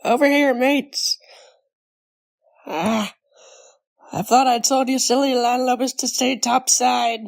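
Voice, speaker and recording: A woman's voice: high voice, fairly even intonation, takes it slowly, pauses often, soft background music. A woman voicing a plucky, earnest female pirate pony with salty sailor talk in a cartoon comic dub. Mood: gruffly grateful. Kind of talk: animated comic dub voice acting.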